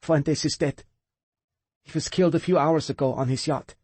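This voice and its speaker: A man voicing a calm, proud young woman. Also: a little high, moderate pace, husky, dry recording, voice pushed up. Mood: earnest.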